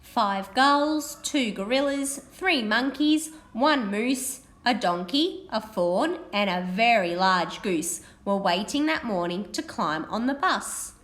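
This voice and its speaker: A woman teacher giving a read-aloud lesson to young children, with expressive, deliberate delivery. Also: fairly high pitch. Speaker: primary school teacher.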